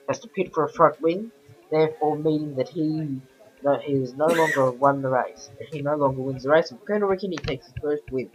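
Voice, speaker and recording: Croaky man commentating a racing game. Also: on the high side. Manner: rambling, keeps correcting himself. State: croaky voice from a sore throat. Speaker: gameplay commentator. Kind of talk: casual commentary over racing game footage. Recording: dull lo-fi voice-over with game music behind.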